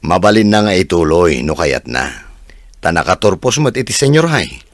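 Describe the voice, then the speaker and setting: Casting: actor as teasing young male laborer, low gravelly voice, laid-back, audio drama. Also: sing-song, moderate pace, dry recording, clean studio sound. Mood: casual. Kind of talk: audio drama acting in casual dialogue.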